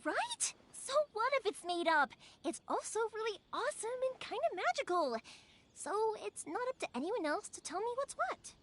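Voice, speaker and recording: A woman playing a cheerful, warmhearted young fireworks maker in a video game, chatty, bubbly and expressive. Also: very high-pitched, normal speed, a little hiss or hum, full character voice. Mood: indignant, spirited. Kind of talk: animated video game voice acting. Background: background music.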